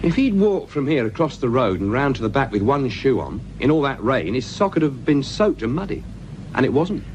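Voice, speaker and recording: An actor playing an eager, sharp-witted young British police detective in a radio drama, informal and persistent. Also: male voice, mid-range voice, sing-song, a little fast, close to an everyday voice. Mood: certain, pressing. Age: young adult. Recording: dull, noisy radio drama recording.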